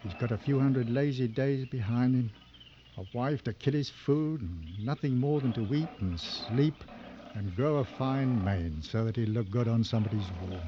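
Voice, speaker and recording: An actor playing a seasoned, world-weary professional hunter in an old radio drama, terse and commanding. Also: male voice, mid-range voice, normal speed, natural voice. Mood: musing, weary. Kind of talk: 1940s-50s radio drama acting. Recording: dull vintage radio recording, faint noise.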